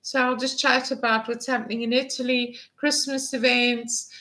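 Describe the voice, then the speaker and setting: A woman in her fifties talks through a casual live stream, rambling from one point to the next with plenty of ums and restarts. Her voice sits a little high and sounds bright and forceful. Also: level tone, moderate pace. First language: English. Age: 50s. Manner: chatty and rambling, with many fillers and restarts. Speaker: vlog host.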